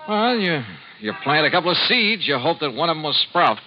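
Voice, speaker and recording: A man's voice: on the high side, very animated, brisk. An American man playing a shrewd, cool insurance investigator in a 1950s radio detective drama, with a wry, clipped, colloquial delivery. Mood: calculating, brisk. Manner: wry, clipped, colloquial, narrates as well as converses. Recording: muffled vintage radio recording, faint music.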